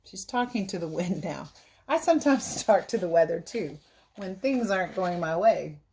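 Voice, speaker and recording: A woman's voice: on the low side, animated, moderate pace, dark tone, slight background noise, poor audio. Woman reading a picture book to children. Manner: instructive, simply explains things to young listeners. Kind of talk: read-aloud storytelling with explanatory asides for children.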